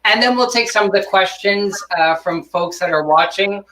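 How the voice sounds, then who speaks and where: A woman's voice: medium pitch, normal speed, a bit bright. A woman hosting a casual live online show over a video call, rambling and stumbling a little over words. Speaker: host of an online social show. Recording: distant, faintly noisy video call.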